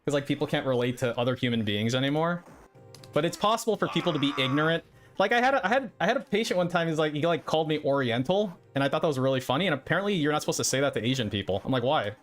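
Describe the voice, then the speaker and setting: A man's voice: on the high side, animated, racing, a bit bright. A man streaming casually, rambling in a blunt, profane and hesitant way. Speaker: streamer reacting to another player's stream. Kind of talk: casual livestream chat, rambling. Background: music, another streamer's gameplay.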